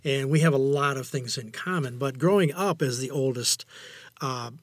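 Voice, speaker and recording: An older man speaking as an interview guest in a reflective, conversational way, haltingly and often repeating phrases for emphasis. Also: medium pitch, no echo. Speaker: interview guest, amateur writer.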